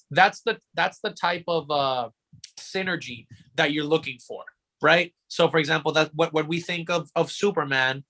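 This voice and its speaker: Bright-voiced man, emphatic podcast talker. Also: fairly high pitch. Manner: emphatic, repeats words and phrases. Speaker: podcast co-host. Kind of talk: casual pop culture discussion.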